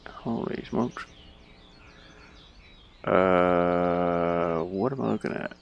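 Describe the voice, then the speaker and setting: A man plays a game and comments casually as he goes, thinking aloud in a puzzled mutter. His speech is slow and creaky, at a middling pitch. There is faint noise in the background. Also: muffled recording. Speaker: gamer playing and commenting on a game.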